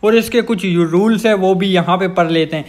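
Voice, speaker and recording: Young male gaming host, Pakistani accent, high voice, casual explanatory voice-over. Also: brisk, darkish tone.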